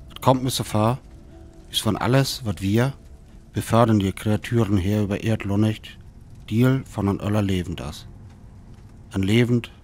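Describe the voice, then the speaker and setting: A man's voice: lowish voice, a little mellow, natural voice. A man with a North Frisian accent from Föhr acting a grave survivor narrating a radio drama, with noisy ambience behind him. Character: grave male survivor recounting the end of mankind.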